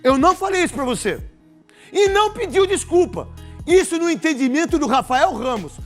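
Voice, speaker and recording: A man's voice: extremely high pitch, animated, fairly quick, fairly bright tone, faint music behind. A man, a pundit in a clip from a talk show, animatedly retelling a dressing-room exchange and acting out both sides.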